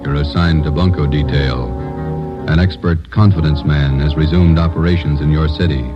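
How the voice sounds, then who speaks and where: An extremely deep-voiced man narrates gravely over music on a dull vintage radio recording. His phrases are terse and declarative, in 1950s drama style. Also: steady tone, moderate pace. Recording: dull old radio broadcast, music behind.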